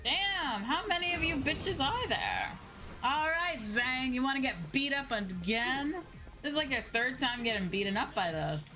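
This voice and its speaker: Animated woman streamer chatting over game music. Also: sits high, normal speed. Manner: playful, gushing, teasing. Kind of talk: casual live gaming commentary.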